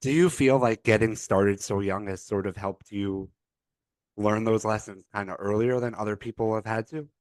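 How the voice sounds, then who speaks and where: A man hosting a podcast, asking relaxed questions and agreeing easily with his guest. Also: fairly low pitch, moderate pace, no echo. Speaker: podcast host. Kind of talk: interviewing.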